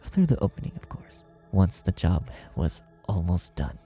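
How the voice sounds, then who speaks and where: A man reading a dark fan fiction story aloud as scripted, dramatic narration. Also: sits low, very animated, moderate pace. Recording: music behind the narration. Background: music.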